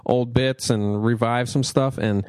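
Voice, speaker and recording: A man co-hosting a podcast, a stand-up comic who keeps the talk easy and agreeable. His pitch is mid-range. The sound is dry and close-miked. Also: fairly even intonation, unhurried, a little mellow, clear, resonant tone, dry recording. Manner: agreeable, frequent "yeah" backchannels. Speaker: podcast co-host and stand-up comedian. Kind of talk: casual podcast chat.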